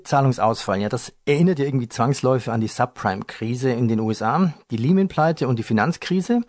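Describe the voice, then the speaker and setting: A man hosting a finance podcast interview, with smooth, scripted moderation and light jokes. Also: medium pitch, darkish tone, dry recording. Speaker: radio host.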